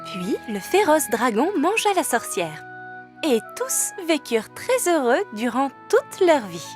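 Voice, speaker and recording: Cartoon elf mum: high, slow, firm, caring. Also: female voice, big pitch swings, soft background music. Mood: soothing. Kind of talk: French-dubbed children's cartoon acting.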